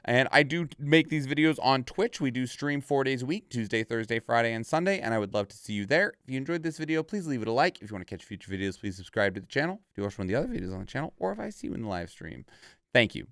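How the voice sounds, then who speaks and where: A man's voice: mid-range voice, lively intonation, fast talker, a bit bright, clean tone, no echo, clean studio sound. A man giving casual, off-the-cuff reaction commentary as a streamer, rambling and thinking aloud in stop-and-start phrases. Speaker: video creator and streamer reacting to game trailers.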